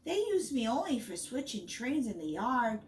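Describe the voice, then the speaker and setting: A woman voicing a kind, modest little female blue engine who speaks gently. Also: a little high, sing-song, normal speed, reverberant, far from the mic.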